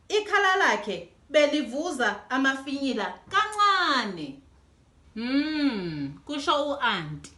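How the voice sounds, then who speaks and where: A woman reading a children's storybook aloud in an animated way as host. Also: high voice. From South Africa. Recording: echoey room.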